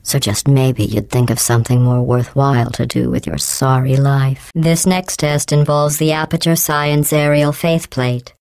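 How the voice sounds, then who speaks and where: A woman playing a coldly menacing, sarcastic female artificial intelligence in a video game, deadpan and falsely polite, with robotic processing on the voice. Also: sits low, no echo. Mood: cold, hateful, then dry, clinical. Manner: deadpan, passive-aggressive, falsely polite, measured enunciation. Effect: robotic synthesized voice processing. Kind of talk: scripted video game voice acting.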